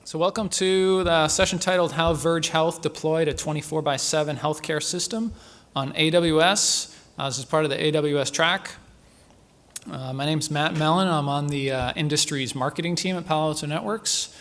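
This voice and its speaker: A man opens a conference session and introduces the speakers. His voice sits a little high for a man, and his delivery is halting and full of fillers. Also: a bit bright. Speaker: session host.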